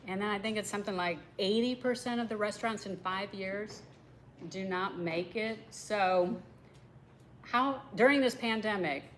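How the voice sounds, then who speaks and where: مذيعة متحمسة تطرح الأسئلة. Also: female voice, medium pitch, moderate pace, slight background noise, poor audio. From United States. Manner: enthusiastic, reacts often with "wow". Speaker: talk show host. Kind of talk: interview.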